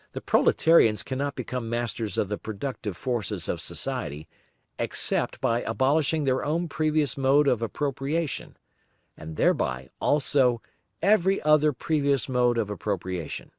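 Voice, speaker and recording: A man reading a political text aloud as an audiobook narrator, phrasing deliberately with emphatic pauses. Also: mid-range voice, dry recording, clean studio sound. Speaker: audiobook reader.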